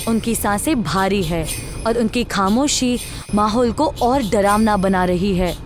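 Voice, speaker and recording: A woman's voice: medium pitch, unhurried. A woman narrating a scripted horror story. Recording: music under the voice.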